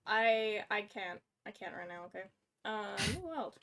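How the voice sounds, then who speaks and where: Woman, casual streamer, slow delivery, gravelly fry, self-mocking and scattered. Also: mid-range voice, animated, fairly bright tone. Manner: scattered, self-mocking, narrates her own fumbles. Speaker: livestream co-host. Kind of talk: casual livestream chat.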